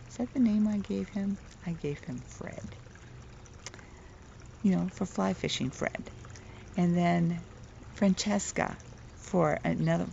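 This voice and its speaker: A middle-aged woman with a soft voice, telling a playful, chatty vlog story outdoors and laughing at her own jokes. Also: on the low side, gravelly, a little hiss or hum. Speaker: vlogger. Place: outdoors, near the water. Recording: dull lo-fi outdoor recording.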